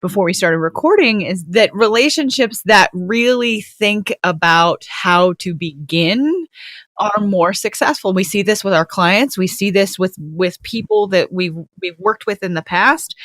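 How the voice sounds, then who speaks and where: A chatty woman hosting a podcast, bubbly and quick to laugh as she interviews her guest. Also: mid-range voice, expressive, a bit bright, no room sound. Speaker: podcast host.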